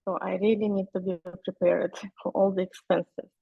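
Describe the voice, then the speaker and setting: A woman's voice: medium pitch, little pitch movement, normal speed, creaky, breathy edge, dry recording, phone-quality sound. A woman in her forties from Russia with a Russian accent, a non-native speaker giving a webinar presentation, hesitant and full of fillers. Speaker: guest speaker.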